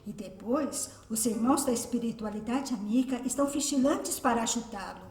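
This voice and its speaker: A woman's voice: a little high, fairly quick, close to an everyday voice. Woman acting a serene, echoing Brazilian spirit. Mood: serene, reassuring. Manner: gentle, edifying. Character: serene, wise, kindly female spirit. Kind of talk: radio drama acting. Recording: echoey, distant from the microphone.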